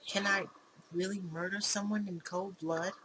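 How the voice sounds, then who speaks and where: A woman's voice: mid-range voice, fairly even intonation, normal speed. A woman hosting a gaming video, narrating a visual novel dramatically and commenting casually. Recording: clearly noisy background.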